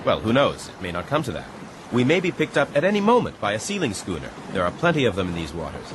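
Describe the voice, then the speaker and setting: An actor playing an earnest, considerate gentleman castaway in a radio drama, with a mild, hesitant, courteous manner. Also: lowish voice, wildly swooping pitch, quick pace. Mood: reassuring. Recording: noisy boat and water ambience behind.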